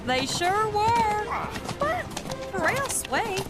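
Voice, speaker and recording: Slow, honeyed Southern cowgirl. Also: very high voice, big pitch swings, heavy background noise, full character voice. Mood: sweet. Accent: Southern American. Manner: honeyed, drawling. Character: Arizona Annie, sweet flirty Wild West cowgirl. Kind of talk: cartoonish video game acting.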